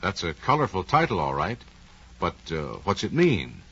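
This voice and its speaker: A man's voice: sits low, huge pitch swings, moderate pace, fairly bright tone, breathy edge. A man with an American accent speaking as announcer and interviewer in a scripted 1940s radio program, with polished, folksy delivery. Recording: muffled, lo-fi 1940s radio recording.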